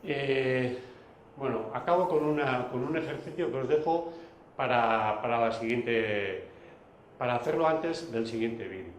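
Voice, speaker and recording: A man's voice: mid-range voice, a little slow, slight background noise. Hesitant man lecturing in an echoey room. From Basque Country. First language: Basque. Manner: hesitant, many fillers and restarts. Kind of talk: recorded video lecture.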